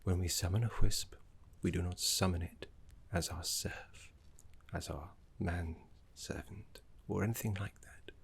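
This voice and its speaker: Casting: male actor as courteous scholarly necromancer mentor, very low-pitched, breathy half-whisper, reverent and soothing, game-inspired ASMR roleplay. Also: fairly even intonation, exaggerated voice. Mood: earnest, reverent. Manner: unhurried, refined, reassuring, deliberate pauses.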